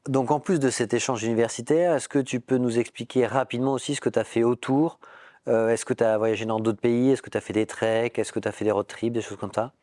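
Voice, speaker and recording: A male host leads the conversation, asking questions at length and filling pauses as he goes. His voice is mid-pitched and recorded dry and close. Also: steady tone, dark tone, no room sound. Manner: guiding questions, frequent fillers. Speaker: interviewer, prep school director. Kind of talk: hosting an interview.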